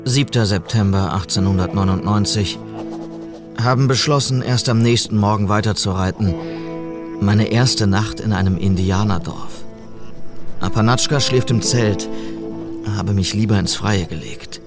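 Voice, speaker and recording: A law-abiding frontier policeman with a creaky, gravelly voice. He is sober and courteous, speaking with restraint in a radio western with music behind him. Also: fairly low pitch, a little slow. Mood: reflective journal. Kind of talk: German western radio drama acting.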